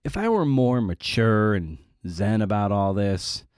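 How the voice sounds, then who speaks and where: A man's voice: lowish voice, sing-song, a little slow, a little mellow, clean tone, dry recording, studio quality. A middle-aged man hosting a podcast, talking casually and confessionally in a self-deprecating, rambling, sweary way. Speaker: podcast host.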